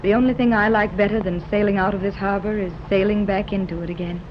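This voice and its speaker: A woman's voice: mid-range voice, fairly even intonation, quick pace, highs cut off, a little hiss or hum, natural voice. An actress playing a composed, determined wealthy woman in a 1930s radio serial, from an old recording. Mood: gentle. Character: gracious determined wealthy mother, adventurer.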